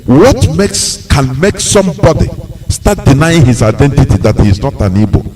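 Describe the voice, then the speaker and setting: Probing Nigerian radio host. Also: male voice, fairly high pitch, very animated, normal speed, a little mellow, distant mic, slight background noise. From Imo State, Nigeria. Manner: probing, presses questions with repeated restarts. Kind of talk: call-in radio interview.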